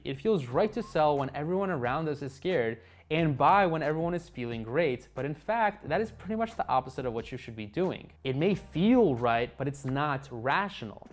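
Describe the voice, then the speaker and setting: A young man whose first language is Russian, hosting an investing video with upbeat talk and joking asides to viewers. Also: fairly high pitch. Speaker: investing channel host.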